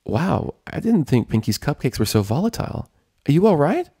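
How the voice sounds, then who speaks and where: A man's voice: on the high side, very animated, moderate pace, mellow, husky, no echo, studio quality, pitched above the performer's own voice, full character voice. A man voicing a nervous, earnest young female pony with an anxious, self-doubting manner in a story. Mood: concerned.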